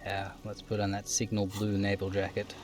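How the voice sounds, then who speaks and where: A man's voice: on the low side, completely flat delivery, normal speed, music in the background. A man streaming a game, reading descriptive prose aloud like a narrator and dropping in casual asides.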